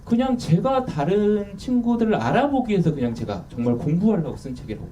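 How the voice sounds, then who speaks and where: A man in his thirties talks candidly as an interview guest, his warm, mellow voice rising and falling with lively swings of pitch. He sits some way from the microphone, and a faint hiss hangs under the sound. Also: a little fast. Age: 30s. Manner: candid, self-deprecating, lively. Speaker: author guest. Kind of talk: casual interview talk. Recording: distant mic with faint noise.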